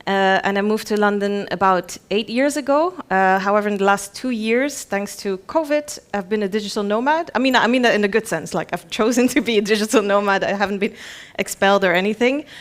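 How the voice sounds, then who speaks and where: A woman in her thirties from Belgium speaks at a conference in a medium-pitched voice. She is informal and chatty, presenting with a self-deprecating touch. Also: fairly quick. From Belgium, grew up in Bruges. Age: 30s. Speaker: conference speaker, head of product.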